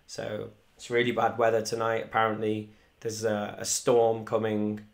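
Young male musician hosting a live stream, rambling and chatty, fairly monotone, desk mic at home. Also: fairly low pitch, moderate pace, vocal fry. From Wales. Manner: chatty, rambling, reads viewer comments aloud. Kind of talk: casual live stream chat. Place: small room indoors at home. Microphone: desk microphone in front.

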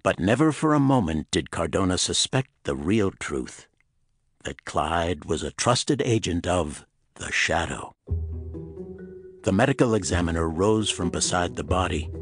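Male audiobook narrator for a dramatic crime pulp: low register, husky grain, close dry recording. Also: animated, a little slow, dry recording, soft background music.

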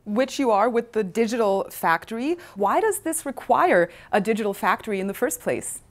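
30s woman host, kinda high voice, bubbly polished presenter, lapel mic in an office. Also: animated, normal speed. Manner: upbeat, polished, addressing viewers. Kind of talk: scripted corporate presenting. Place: bright modern office.

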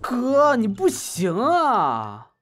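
A woman playing a cheeky, excitable younger sibling in an audio drama, teasing, chatty and exclamatory. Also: a little high, extremely slow, full character voice. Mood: nosy, teasing.

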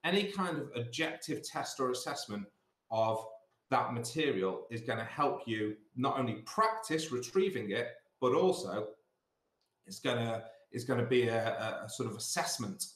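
A man's voice: mid-range voice, expressive, moderate pace, a bit bright. A middle-aged British man speaking as a school teacher, giving instructive advice and repeating points for emphasis, on a video call. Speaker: school sixth form director. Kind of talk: assembly talk giving exam revision advice. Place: small indoor office. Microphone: computer mic on a video call. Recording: roomy, echoey video call.

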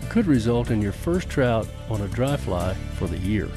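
A man's voice: on the low side, very animated, normal speed, over music. A man reading scripted instructional narration.

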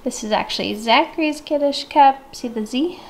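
A woman's voice: high-pitched, moderate pace, a little mellow. A woman in her thirties chatting casually and informally in a home vlog, with a self-deprecating, conversational manner. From South African family background. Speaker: vlogger. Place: inside a house, open dining room and kitchen.

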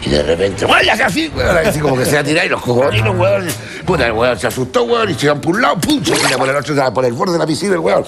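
An older Chilean comedian rattles off a vulgar joke on a TV studio stage, full of swearing and quick voiced quotes. His voice sits fairly high for a man. Loud music fills the background. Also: gravelly. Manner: profane, animated storytelling with voiced quotes. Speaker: stand-up comedian. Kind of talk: crude stand-up joke telling.

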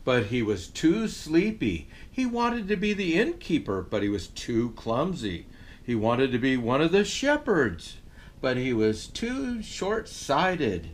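An older man playing Santa reads a children's story aloud in an expressive, jolly manner. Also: mid-range voice, takes it slowly, a little hiss or hum. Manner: expressive, jolly delivery with chuckles. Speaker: storyteller dressed as Santa Claus. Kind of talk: animated storybook reading for children.

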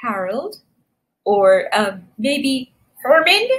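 A woman's voice: on the high side, big pitch swings, extremely slow, a bit bright, full character voice. A woman voicing a small, sweet, polite male porcupine in a children's story, with a hesitant manner. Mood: polite, timid. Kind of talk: animated children's storybook reading.

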